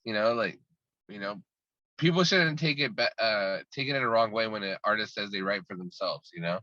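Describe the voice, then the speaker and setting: A man's voice: lowish voice, a little fast, bright, forceful tone, no room sound, highs cut off. A man talking casually as an interview guest, rambling and restarting his sentences often. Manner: talkative and rambling, many restarts. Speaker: guest musician.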